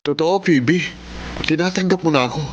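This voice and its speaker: An actor with a Filipino accent playing a playful, lovestruck young bachelor in a radio drama. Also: male voice, fairly high pitch, moderate pace, lo-fi sound, natural voice. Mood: elated. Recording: faint background noise.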